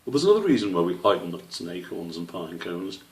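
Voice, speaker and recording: A man voicing an old father squirrel in a children's story, stern but patient, speaking in a measured, gently questioning way. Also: sits low, big pitch swings. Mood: wise, instructive. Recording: roomy, slightly distant microphone.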